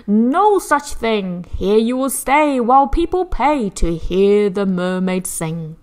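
A woman's voice: medium pitch, big pitch swings, takes it slowly, a little mellow. A woman voicing a sly, greedy old male circus owner in a storybook, grandiose and bossy. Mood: cruel, firm.

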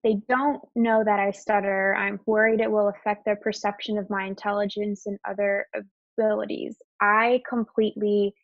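A woman's voice: medium pitch, flat delivery, normal speed, no echo. A young adult woman who stutters at times, presenting slides in a webinar in an open, conversational way. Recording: dull, lo-fi webinar audio.

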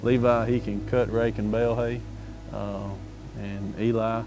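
A man's voice: on the low side, flat delivery, unhurried, rough recording. A middle-aged man with a Southern US accent, a farmer talking casually and plainly in an interview. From Arkansas, United States. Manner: folksy, plainspoken. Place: outdoors in an open pasture. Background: music.

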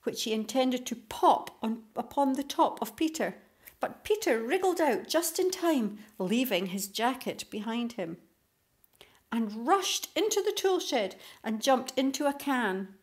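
A woman reading a children's story aloud as scripted narration, in an expressive storytelling manner. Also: on the high side, a little slow. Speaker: storybook reader.

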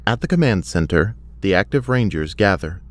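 A man's voice: on the low side, lively intonation, normal speed. A man giving scripted, dramatic narration as the narrator of an audio drama.

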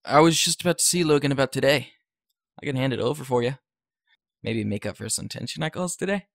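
A man's voice: mid-range voice, quick pace, creaky, dry recording, studio quality, close to an everyday voice. A man playing a hesitant, good-hearted teenage boy in an audio drama, understated in manner. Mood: agreeable.

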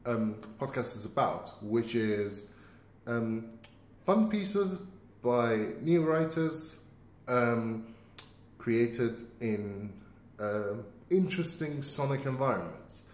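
A man co-hosting a podcast in casual, halting chat, recorded in an empty office. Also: lively intonation, darkish tone. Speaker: podcast co-host. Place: very empty, cave-like office indoors. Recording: echoey empty office, low rumble noise.

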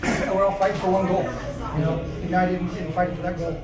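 A man in his forties, a soccer coach, giving a measured, plain-spoken postgame interview with some halting fillers, standing in a concrete stadium corridor. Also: high voice, flat delivery. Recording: echoey hallway, slightly distant mic, loud crowd behind.

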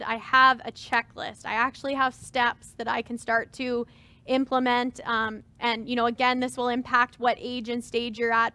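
A woman's voice: fairly high pitch, pushed and bright, clear, resonant tone, no echo. A woman in her thirties on a webinar panel, giving earnest advice about planning with many fillers. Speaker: farm transition advisor. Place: stage with dark curtains.

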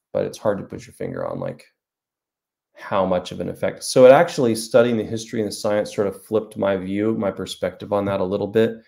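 Man, forties, fairly low voice, livestream host chatting loosely to a webcam. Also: gravelly, mellow. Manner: rambling, self-deprecating, many fillers. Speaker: author hosting a livestream. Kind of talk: casual livestream chat answering viewer comments. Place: small room at home, indoors. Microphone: webcam at desk.

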